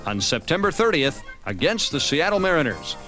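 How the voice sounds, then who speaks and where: American man narrating over music, higher-pitched voice. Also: sing-song, normal speed, pushed and bright. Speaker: narrator of a baseball season review. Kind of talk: scripted documentary narration. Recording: music bed under narration. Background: background music.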